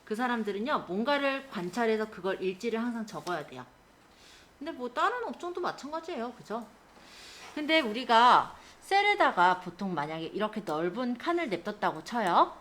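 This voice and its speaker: A woman's voice: fairly high pitch, very animated, moderate pace, a bit bright. A woman instructor giving a step-by-step spreadsheet lesson, explaining and often checking in with short tag questions. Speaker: computer skills instructor.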